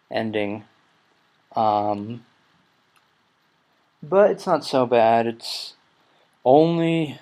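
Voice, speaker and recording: Young male podcast host, twenties, low-energy rambler, mid-pitched voice. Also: darkish tone. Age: 20s. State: recovering from a cold. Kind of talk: casual solo podcast chat.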